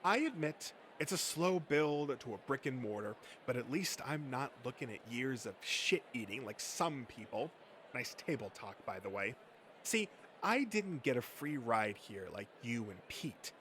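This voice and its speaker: Dude voicing snooty defensive comics nerd, talks all wordy and sneery, amateur comic dub, slight hiss of noise. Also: male voice, mid-range voice, big pitch swings, measured pace, fairly bright tone. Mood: pompous. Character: Bill, pompous, defensive male comics collector and mocking rival.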